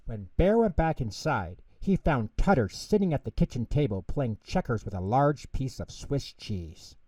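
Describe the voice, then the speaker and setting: A man's voice: mid-range voice, big pitch swings, moderate pace, warm tone, no echo, recorded from a distance. A man narrating a scripted children's bedtime story as the storyteller.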